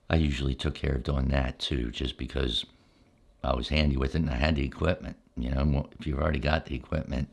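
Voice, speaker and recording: An older man reminiscing in a relaxed, unscripted ASMR style, unhurried and halting, often pausing mid-sentence. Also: a bass voice. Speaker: ASMR storyteller, channel host.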